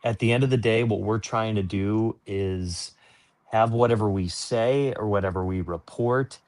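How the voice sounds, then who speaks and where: A man's voice: fairly low pitch, normal speed, darkish tone. A man working as a sports announcer, answering interview questions in an earnest, informal, explanatory way.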